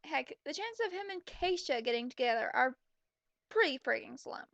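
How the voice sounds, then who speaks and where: A high-pitched woman delivers sarcastic, exasperated commentary on a story. Her voice has a gravelly fry to it, and the recording is dry and somewhat dull. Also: very animated, normal speed, a bit bright, dry recording. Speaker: commentator reading a story aloud. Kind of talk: mocking commentary on a read-aloud story.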